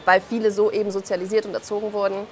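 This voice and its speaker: A woman's voice: mid-range voice, little pitch movement, racing, darkish tone, a little hiss or hum. A woman in her thirties from Belarus speaking as an interview guest in an impassioned, colloquial way, often acting out quoted remarks. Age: 30s. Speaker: interview guest, author and journalist. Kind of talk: impassioned spoken interview answers.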